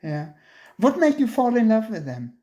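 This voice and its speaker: A chatty interviewer from Germany with a middle-pitched voice keeps the conversation casual. Short laughs punctuate the remarks, and the questions come in an easy, conversational flow. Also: big pitch swings, moderate pace, dark tone.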